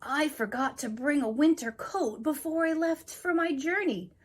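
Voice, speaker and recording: Woman as a weary traveler, storybook, freezing, plaintive, higher pitch. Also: normal speed, close to an everyday voice. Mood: cold, pleading.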